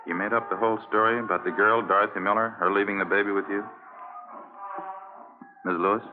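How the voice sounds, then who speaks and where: Actor as low-voiced brisk old-radio police detective. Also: male voice, fairly even intonation, natural voice. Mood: gently probing. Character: courteous, steady police detective, partner on case. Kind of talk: 1950s radio drama acting. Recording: tinny vintage radio broadcast, music behind.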